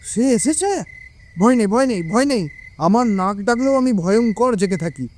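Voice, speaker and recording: A man's voice: high-pitched, lively intonation, moderate pace, darkish tone. A man playing a jumpy, blustering, bumbling policeman in an audio drama, stammering and flustered. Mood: startled, flustered.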